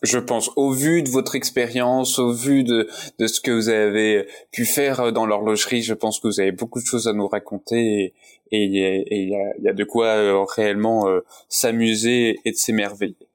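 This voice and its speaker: Low-voiced male interview host. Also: level tone. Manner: halting questions with fillers. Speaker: podcast host. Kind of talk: interview hosting.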